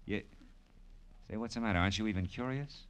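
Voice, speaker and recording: An actor playing a tough, wisecracking American private detective in a classic radio drama, slangy and wry. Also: male voice, fairly low pitch, a little fast, smooth, natural voice. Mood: wry, hard. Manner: wry, slangy, hard-boiled delivery. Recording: old radio drama recording with music.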